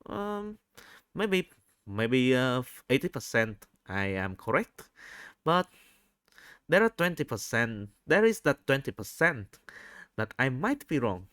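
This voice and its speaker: A man with a Vietnamese accent recording a spontaneous, unscripted audio log, speaking haltingly and often rephrasing himself. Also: medium pitch, sing-song, fairly bright tone, no echo, clean studio sound. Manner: halting, repeats and rephrases phrases.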